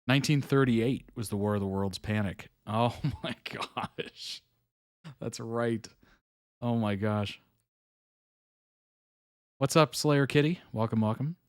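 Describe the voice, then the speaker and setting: A man hosting a live reaction stream, chatting casually, reading chat messages aloud, with frequent ums and soft chuckles. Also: mid-range voice, slow pace, pauses often, no room sound, studio quality. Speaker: host reacting to videos live.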